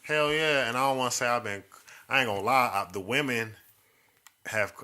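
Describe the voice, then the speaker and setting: A man's voice: medium pitch, normal speed, crowd noise. A man co-hosting a casual podcast, talking in profane slang and teasing the others. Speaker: podcast co-host.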